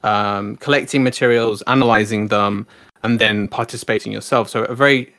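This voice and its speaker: Podcast host, mid-pitched man, dry sound. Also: steady tone, a little fast, fairly bright tone, dry recording. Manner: friendly, uses many fillers and affirming backchannels. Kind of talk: casual podcast interview.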